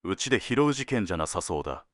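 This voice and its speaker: A man playing a world-weary senior detective in an audio drama, laconic and deliberate, given to dry teasing jokes. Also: deep voice, sing-song, a bit bright, no room sound. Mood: wry, businesslike. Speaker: police detective at headquarters.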